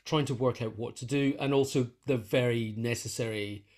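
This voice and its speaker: A man's voice: medium pitch, steady tone, normal speed, a little mellow. A man being interviewed, explaining his work in a casual conversational way, thinking aloud and repeating phrases as he goes. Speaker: interview guest, composer and conductor.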